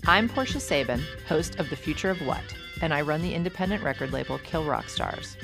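A woman hosting a radio show about music, reading a scripted intro and then asking interview questions in a measured, conversational way. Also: low voice, expressive, creaky. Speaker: radio show host and record label owner.

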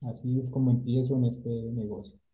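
A middle-aged man from Colombia, a painting company owner, telling his story in a relaxed casual chat and dropping English words into his Spanish. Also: mid-range voice, fairly quick. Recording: echoey room, dull lo-fi sound.

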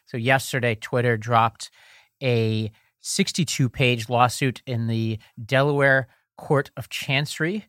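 A man co-hosting a podcast in casual chat, animated and quick to laugh. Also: mid-range voice, no echo, studio quality. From lives in New York. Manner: chatty, laughs often, animated. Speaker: podcast co-host.

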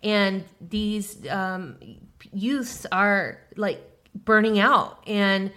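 A woman's voice: medium pitch, drawn-out words, pushed and bright. A woman in her fifties hosting a podcast, talking in a chatty, agreeable way with many fillers. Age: 50s. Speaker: podcast host. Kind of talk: casual chat.

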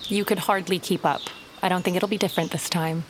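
A woman plays a tough, stubborn adventurer in a fantasy audio drama. Her voice sits fairly low, deadpan and clipped, amid clearly audible ambient noise. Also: a little fast, lightly acted. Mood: defiant. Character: tough, dry-witted woman climber, stubborn and bruised. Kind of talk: dramatic audio fiction acting.

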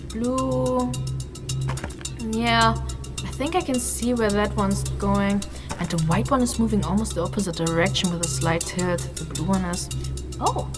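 Animated young apprentice mage, high voice. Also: female voice. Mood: concentrating. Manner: hesitant, expressive, exclaiming. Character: eager, self-doubting young human woman learning magic. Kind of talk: fantasy audio drama acting.

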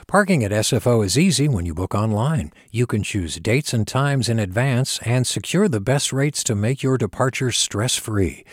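A male announcer reads scripted sponsorship copy. His pitch is average and the sound is close and dry, with no room echo. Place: dry studio.

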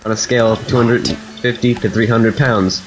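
A man's voice: mid-range voice, fairly even intonation, moderate pace, poor audio. A man reading out listener questions and names on a casual podcast. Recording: clear music behind the voice.

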